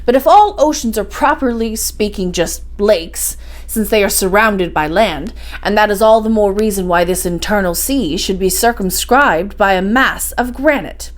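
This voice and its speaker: A woman's voice: mid-range voice, lively intonation, moderate pace, natural voice. A woman voicing a learned, confident older professor uncle in a story, speaking in an assured, didactic way. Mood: resolute.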